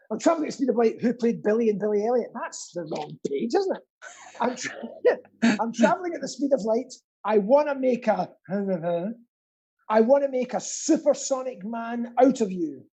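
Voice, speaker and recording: A man's voice: extremely high pitch, expressive, normal speed, warm tone, slightly rough, low-quality recording. A middle-aged man hosting a live quiz with camp, rambling, self-deprecating banter. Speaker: quiz host.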